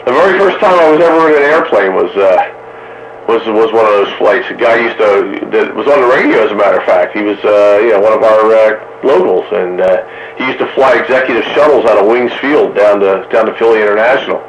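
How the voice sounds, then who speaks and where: A man's voice: lowish voice, a little fast. A man chatting casually and telling joking anecdotes over a two-way radio. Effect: two-way radio transmission. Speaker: amateur radio operator chatting on a radio round table. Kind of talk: casual chat. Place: radio transmission picked up by a receiver. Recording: muffled, staticky radio reception with faint noise.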